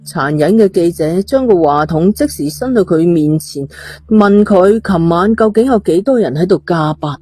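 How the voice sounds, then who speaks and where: A woman's voice: on the low side, animated, normal speed, mellow, slightly rough. A woman, narrating a scripted thriller story. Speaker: audiobook narrator.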